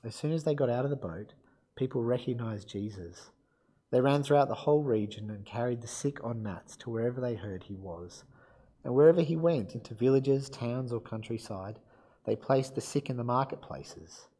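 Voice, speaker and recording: Male preacher, croaky from a cold; warm low tone, casual delivery with ums. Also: normal speed. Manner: self-deprecating, relaxed, with fillers. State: croaky from illness. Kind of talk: sermon with Bible reading.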